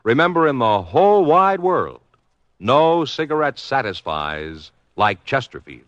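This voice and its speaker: A man announcing a 1950s radio advertisement with an emphatic, polished sales pitch. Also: sing-song, unhurried, fairly bright tone, dry recording. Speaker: commercial announcer. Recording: dull, dry vintage radio recording.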